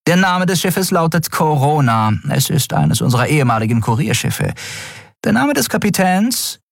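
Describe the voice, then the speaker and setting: A man voicing a predatory female alien first officer who gives crisp military reports in an audiobook. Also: on the high side, very animated, normal speed, no room sound, clean studio sound, voice pushed up, heavily acted. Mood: reporting.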